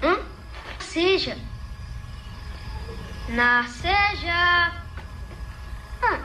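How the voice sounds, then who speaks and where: Brief: a woman as a chatty boy scout in a cartoon, very high and excitable, distant over loud music. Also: drawn-out words, full character voice. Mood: eager. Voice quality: excitable and talkative. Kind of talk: animated film dub.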